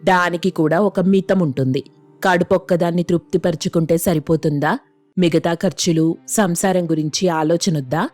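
Actress as thrifty rural wife, lowish voice, nagging and colloquial, for a storybook drama over light music. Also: female voice, steady tone, normal speed, a little mellow, dry recording, natural voice. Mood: scolding. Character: scolding, thrifty village wife. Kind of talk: Telugu moral story voice acting.

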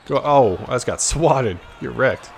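A man's voice: medium pitch, expressive, measured pace, creaky, a little hiss or hum. A man giving casual, slangy gameplay commentary, cocky and playful with plenty of trash talk. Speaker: gaming video host.

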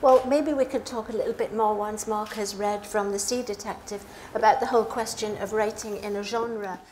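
A woman's voice: mid-range voice, fairly even intonation, moderate pace, darkish tone. A woman chairing a live book event, asking questions in a conversational way. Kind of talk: conversational on-stage interviewing. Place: small dark stage before a seated audience. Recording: noisy live event room recording.

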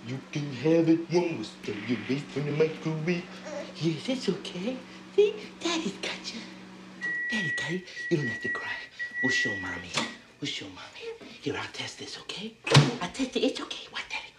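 An actor playing a flustered new father trying to calm his crying baby, frantic and half-laughing. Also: fairly high pitch, moderate pace, roomy sound, distant mic, heavy background noise. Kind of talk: film comedy acting.

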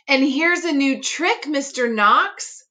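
A woman's voice: high-pitched, animated, takes it slowly, pushed and bright, pitched above the performer's own voice, exaggerated voice. A woman voicing a playful, cheerfully bossy male fox from a children's picture book, coaxing in a sing-song way. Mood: eager. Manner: coaxing, sing-song tongue-twister delivery.

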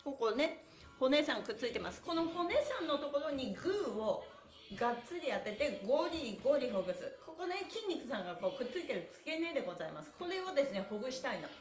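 Female exercise host, middle-aged, bright-spirited and encouraging, swooping intonation, roomy camera-mic sound. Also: sits high, big pitch swings, brisk, soft background music. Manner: cheerful, encouraging, exclamatory; polite, with playful honorifics for body parts. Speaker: stretching instructor and stream host. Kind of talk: live exercise lesson to viewers. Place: small bare-walled room indoors. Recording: roomy indoor echo.

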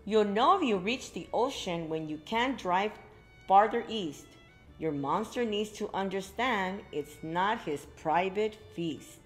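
A woman's voice: mid-range voice, animated, a little slow, faint music behind. A middle-aged woman reading a story aloud to young children, expressively, with exclaimed asides to her listeners. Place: indoor library room with bookshelves.

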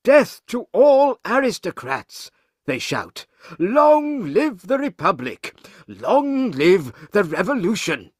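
A man voicing a fierce, vengeful revolutionary from an angry mob, with loud, forceful cries, in an audiobook. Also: high-pitched, sing-song, slow, hardly any pauses, breathy edge, dry recording, voice pushed up, full character voice. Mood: furious.

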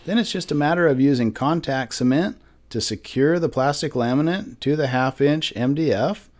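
A man's voice: fairly high pitch, moderate pace, a little mellow. A man in his forties giving a friendly step-by-step woodworking lesson in his wood shop. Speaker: woodworking instructor. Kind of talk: instructional shop tutorial. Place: wood shop with lumber racks, indoors.

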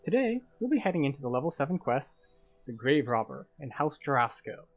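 A man with a mid-pitched voice gives a guided game commentary. He explains tactics step by step with a light playful touch, and music plays behind him. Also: big pitch swings, a little fast, highs cut off. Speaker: host of a game playthrough guide.

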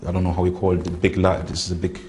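A man, recounting events haltingly in an interview and searching for words. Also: low-pitched, completely flat delivery, darkish tone, slight background noise. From Germany. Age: 20s. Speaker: interviewee, former militant. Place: indoor room with barred windows.

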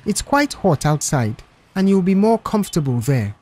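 A man reads a young male mechanic in an audio story. He speaks with measured control, in a fairly high voice with lively intonation. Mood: polite, placating. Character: calm, polite young male mechanic of few words.

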